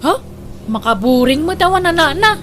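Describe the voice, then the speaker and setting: A woman's voice: extremely high pitch, sing-song, normal speed, a bit bright, slight background noise, heavily acted. A woman playing a discontented, worried young wife in an audio drama, with an Ilocano Filipino accent. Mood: sulky, bitter.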